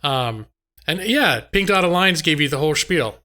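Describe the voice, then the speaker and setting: A slightly hoarse man streaming a game live, chatting casually and ramblingly to his viewers. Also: on the high side, lively intonation, normal speed, bright, forceful tone. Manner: rambling, conversational, addresses chat directly. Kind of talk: casual chat with viewers while gaming. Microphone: close microphone on a boom arm.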